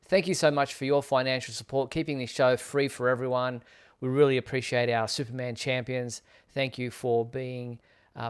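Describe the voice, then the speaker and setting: A man's voice: medium pitch, smooth. A man hosting a live online fan talk show, addressing viewers in a courteous, appreciative way.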